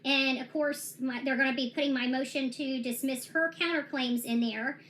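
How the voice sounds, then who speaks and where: High, bright-voiced woman rambling emphatically. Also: steady tone, moderate pace, slight background noise. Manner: rambling and emphatic, with frequent restarts. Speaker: self-represented plaintiff giving a case update. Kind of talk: casual vlog monologue.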